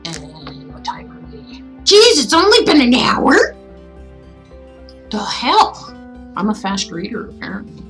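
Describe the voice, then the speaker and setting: A woman's voice: a little high, wildly swooping pitch, measured pace, pauses often, fairly bright tone, faint music behind. A woman chatting casually as a livestream host, rambling and musing aloud in an informal way. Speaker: streamer reading stories aloud.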